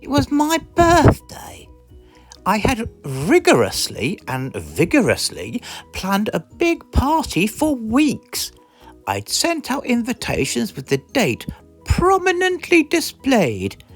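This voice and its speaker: A British woman reading a children's storybook aloud in an expressive, theatrical way. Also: a little high, slow, faint music behind. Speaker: storybook reader. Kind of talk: animated scripted storybook narration.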